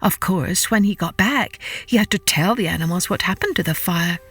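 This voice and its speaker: A woman telling a scripted children's story as a podcast storyteller, dramatic and deliberate, repeating words for effect. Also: lowish voice, fairly bright tone, breathy edge, faint music behind.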